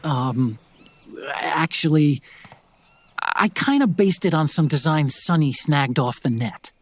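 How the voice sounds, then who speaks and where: A game hero's tech-minded support partner. His voice sits fairly high for a man, with a slight husk. He explains and briefs earnestly. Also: expressive, slow pace, husky, full character voice. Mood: hesitant. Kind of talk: video game cutscene acting.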